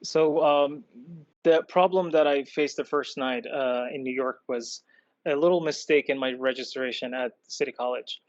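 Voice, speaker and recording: A man from Iran telling his own story as a podcast guest, in a halting, thoughtful way. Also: medium pitch, dry recording. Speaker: podcast guest, product designer. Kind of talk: personal storytelling in an interview. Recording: dull lo-fi close dry sound.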